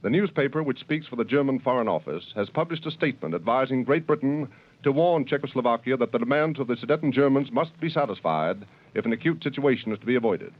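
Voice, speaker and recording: A man's voice: mid-range voice, lively intonation, fast talker. A man reading a formal 1930s news bulletin on the radio. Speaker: news announcer. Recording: tinny old radio broadcast.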